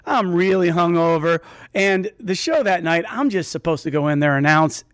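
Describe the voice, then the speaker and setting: A man's voice: fairly high pitch, normal speed, fairly bright tone, clean tone, no room sound, studio quality. A man telling a comic true story in a conversational, self-interrupting way, as a storyteller on stage.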